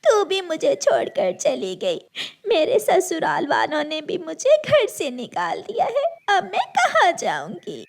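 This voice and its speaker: A woman's voice: very high voice, huge pitch swings, unhurried, vocal fry, heavily acted. A woman voicing a meek, mistreated young bride bird in a cartoon, speaking politely and plaintively. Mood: grieving.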